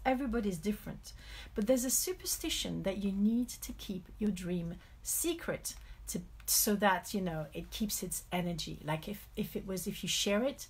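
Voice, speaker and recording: Husky French-accented woman, candid and informal. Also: mid-range voice. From France. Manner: candid, reflective, self-correcting. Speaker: motivational coach hosting a live stream. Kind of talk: informal motivational talk with personal stories.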